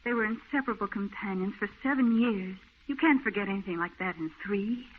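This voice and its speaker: A woman's voice: mid-range voice, fairly quick, a little hiss or hum, natural voice. A woman acting an anxious, lovelorn young woman in an old-time radio drama, speaking earnestly and emotionally. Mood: anxious, heartsick. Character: anxious, lovelorn young woman fretting over her troubled fiancé. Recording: muffled, narrow-band old radio recording.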